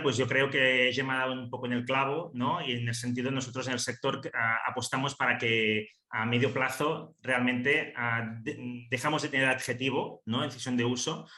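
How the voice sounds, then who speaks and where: A man's voice: mid-range voice, sharp tone, roomy sound. A man whose first language is Catalan, giving an online seminar talk in a hesitant way, with many fillers and restarts. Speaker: seminar speaker on housing cooperatives.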